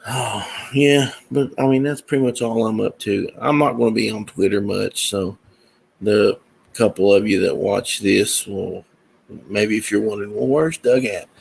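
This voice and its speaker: A man's voice: fairly low pitch, steady tone, normal speed, gravelly, slightly rough, poor audio. A man talking casually to his viewers about his music and projects in a rambling, meandering way with many fillers. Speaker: musician and comic creator. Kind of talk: casual talk to camera.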